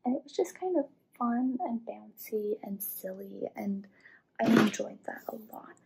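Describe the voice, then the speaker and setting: A woman in her twenties from the American Midwest, chatting casually through a vintage hair tutorial in a small room, with low whispery asides and quiet audio. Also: lively intonation, measured pace, gravelly, heavy background noise. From Midwest US, Illinois.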